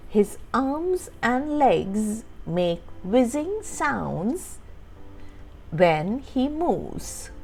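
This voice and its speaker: A woman reading a children's storybook aloud as a storytime host, with expressive, deliberate phrasing for young listeners. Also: on the high side, vocal fry. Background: background music.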